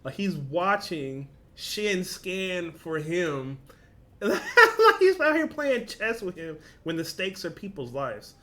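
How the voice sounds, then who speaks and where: A man's voice: high-pitched, sing-song, slow pace, sharp tone. A man with a casual, slangy, informal way of talking, hosting a reaction video and reading along.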